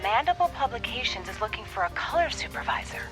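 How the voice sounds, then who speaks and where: An actress playing a brisk, teasing, supportive woman friend in a radio drama, familiar and direct. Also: on the high side, very animated, over music. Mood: supportive urging.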